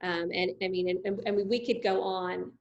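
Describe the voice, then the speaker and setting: A middle-aged woman interviewing a guest in a relaxed, chatty way, affirming often and leaning on fillers. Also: on the low side, moderate pace, gravelly, dull audio. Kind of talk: conversational interview.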